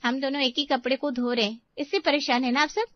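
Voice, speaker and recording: Woman playing young daughter-in-law, self-righteous, competitive, fairly high pitch, cartoon story, dry recording. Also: fairly even intonation, fast talker, dry recording, lo-fi sound, natural voice. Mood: smug, defiant.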